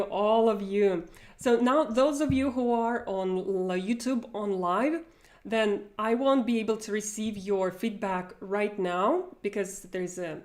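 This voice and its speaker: A woman's voice: mid-range voice, darkish tone. A woman in her forties with a Russian accent, teaching a live online language lesson from home in a friendly, chatty way with plenty of fillers. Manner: friendly, chatty, laughs often, many fillers. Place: home room with bookshelves. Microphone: wired earphone mic.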